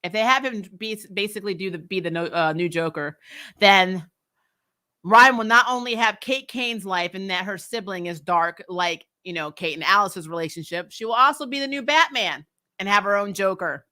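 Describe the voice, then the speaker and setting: A woman's voice: medium pitch, normal speed, bright, forceful tone. A woman giving casual, candid fan commentary as a recap host, in a sassy, emphatic and colloquial way.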